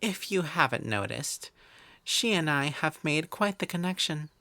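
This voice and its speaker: A woman's voice: low-pitched, lively intonation, measured pace, bright, forceful tone, dry recording, full character voice. A woman voicing a proud female elf wizard in an audio roleplay, prim and aloof, often sighing. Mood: haughty.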